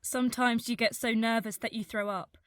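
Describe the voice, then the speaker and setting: A woman's voice: fairly high pitch, steady tone, brisk, a bit bright, no room sound, studio quality, lightly acted. A woman playing an anxious, self-doubting young woman speaking frankly in a radio play monologue.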